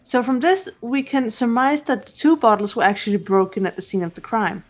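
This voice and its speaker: A woman voicing a calm, cool-headed young female detective who lays out her reasoning in a fan dub of a game. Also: fairly high pitch, faint music behind, close to an everyday voice. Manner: measured, explanatory.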